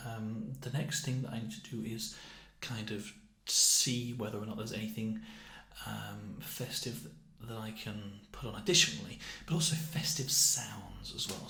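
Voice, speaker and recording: A soft-spoken British man in an ASMR roleplay as a clerk, talking haltingly and a little awkwardly, often dropping close to a whisper. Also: lowish voice, vocal fry, echoey room. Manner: hesitant, gently awkward, halting delivery. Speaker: ASMR roleplayer playing a festive assessment clerk. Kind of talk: ASMR roleplay, improvised personal-attention chat.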